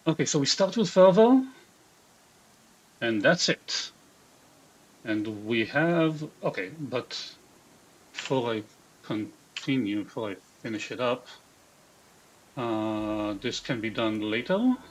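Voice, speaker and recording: A man's voice: medium pitch, expressive, takes it slowly, long pauses, a little hiss or hum. A man from Israel with an Israeli accent, streaming live, reading chat aloud and muttering half-sentences to himself as he builds a game character. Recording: dull lo-fi stream audio.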